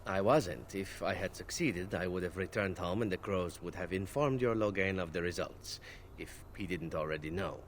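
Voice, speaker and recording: An actor voicing a charming, glib male elf assassin in a video game, smooth and playfully teasing. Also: low-pitched, moderate pace, a little hiss or hum, lightly acted. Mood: sly. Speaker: game character.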